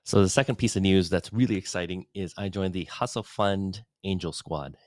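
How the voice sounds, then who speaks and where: A man with a very low voice talks into a studio mic at his desk. He rambles in a relaxed, upbeat way. The sound is close and dry, with no room echo. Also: normal speed. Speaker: podcast host giving an update. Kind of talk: casual solo update. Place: home office studio. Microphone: studio dynamic mic at desk.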